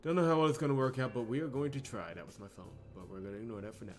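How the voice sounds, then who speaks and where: A man's voice: mid-range voice, rapid-fire, a little mellow, soft background music. A man hosting a video game playthrough, talking casually in an exasperated, grumbling manner. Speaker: host of a visual novel playthrough channel. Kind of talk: casual gameplay commentary.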